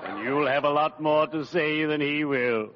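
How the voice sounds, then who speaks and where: An actor playing a genteel, cheerfully morbid undertaker with theatrical, ornate speech in an old-time radio comedy. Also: male voice, fairly high pitch, normal speed, a loud crowd, lightly acted. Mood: drily amused. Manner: genteel, theatrical, drily macabre. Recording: muffled, noisy vintage radio recording.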